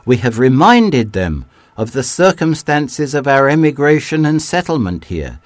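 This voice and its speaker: A man's voice: very animated, dry recording. Solemn declaiming man, dry close sound. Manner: measured, declamatory, dropping low at phrase ends. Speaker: reader. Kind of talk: solemn reading of a historic document.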